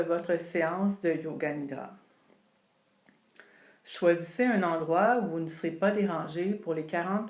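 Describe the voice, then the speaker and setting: Very slow, low-voiced woman guiding relaxation. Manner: calm, unhurried, soothing delivery with long pauses. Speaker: yoga nidra instructor. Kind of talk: guided relaxation meditation. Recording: roomy, echoing sound.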